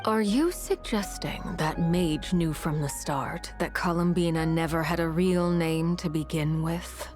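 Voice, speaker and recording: Grave serious companion, lowish voice, deliberate and doubtful, game dialogue. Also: female voice, darkish tone, faint music behind. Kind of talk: game cutscene voice acting.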